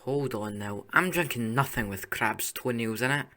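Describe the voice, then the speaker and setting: A man playing an excitable, blunt schoolboy wizard in an audio play. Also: mid-range voice, moderate pace. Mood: incredulous, eager. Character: brash, excitable schoolboy wizard, loyal sidekick.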